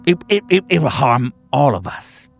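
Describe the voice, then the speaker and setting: Man acting an excitable, stammering therapy doctor, swooping intonation. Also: medium pitch, vocal fry, pitched above the performer's own voice. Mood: agitated, effusive. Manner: halting, stammering, emphatic repetitions, nervous chuckles. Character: excitable, fawning male therapy doctor, sinisterly earnest. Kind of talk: theatrical group-session address.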